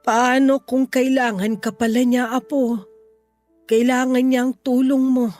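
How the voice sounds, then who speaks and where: A woman playing a sick, tender grandmother of about sixty in a Tagalog radio drama, pleading gently and sorrowfully. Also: high voice, little pitch movement, measured pace, faint music behind.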